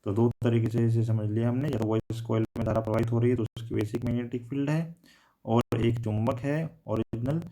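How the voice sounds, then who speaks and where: Guy giving a tech lecture, mellow gravelly voice, goes over each point again. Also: mid-range voice, steady tone, fairly quick. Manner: repeats and rephrases points, mixing in English technical terms. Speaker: teacher. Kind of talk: explanatory technical lecture.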